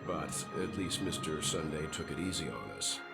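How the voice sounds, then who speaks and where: An actor playing a calm, caring, reserved mature man in a video game, understated as he explains things. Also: a bass voice, moderate pace, gravelly, over loud music, exaggerated voice. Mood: weary, matter-of-fact.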